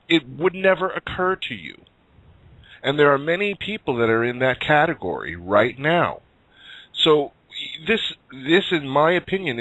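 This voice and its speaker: A man speaking as a talk show guest in a deliberate, persuasive monologue. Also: a little high, a bit bright, dry recording, low-quality recording.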